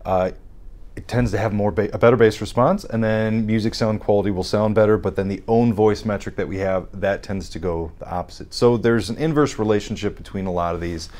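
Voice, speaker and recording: A man in his thirties speaks in a somewhat low voice, walking through technical procedures step by step. His delivery is measured and explanatory, with occasional restarts. He sits on a studio set, picked up by an off-camera mic. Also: darkish tone. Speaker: hearing scientist guest. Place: dark studio set with armchairs.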